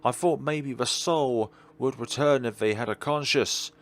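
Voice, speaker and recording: A man's voice: mid-range voice, unhurried, a little hiss or hum, voice pushed up, close to an everyday voice. A man reading visual novel dialogue as a melancholy, world-weary God, in a wistful, dramatic way. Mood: bitter, emphatic.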